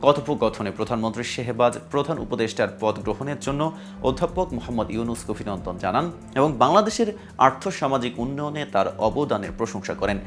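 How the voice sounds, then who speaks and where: A man with a Bangladeshi accent presenting scripted news in a formal, measured newsreader delivery. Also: mid-range voice, expressive. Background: music bed.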